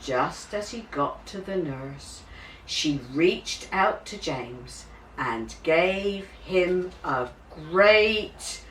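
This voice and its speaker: A woman narrating a children's story expressively, with dramatic, deliberate, drawn-out emphasis. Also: lowish voice, animated. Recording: roomy, distant mic, faint hiss.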